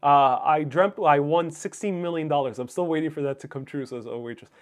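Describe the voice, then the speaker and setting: Higher-voiced man, native Spanish speaker, chatting casually. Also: fairly quick. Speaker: livestream host. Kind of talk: casual chat, reading and answering viewer comments.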